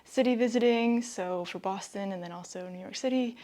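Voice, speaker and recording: An American woman in her thirties from the East Coast, chatting casually and excitedly to viewers as a vlogger. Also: medium pitch, a little fast. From Connecticut, US East Coast. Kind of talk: casual vlog talk to viewers.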